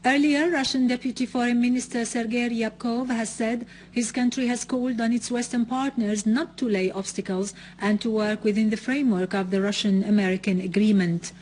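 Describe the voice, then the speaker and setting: A woman news presenter reading a scripted bulletin in a formal, measured way. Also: fairly high pitch, steady tone, a little mellow, slight background noise.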